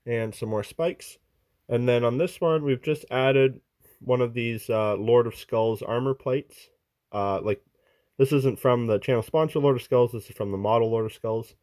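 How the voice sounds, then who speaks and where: A man with a smooth, clean voice recorded close and dry, talking his viewers through a big hobby project. He rambles cheerfully with plenty of ums and uhs. He is a little sick and starting to lose his voice. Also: mid-range voice, a little mellow, no echo. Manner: rambling, filler-heavy, enthusiastic. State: losing his voice, a bit sick. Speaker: miniature hobby channel host. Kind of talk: casual show-and-tell walkthrough.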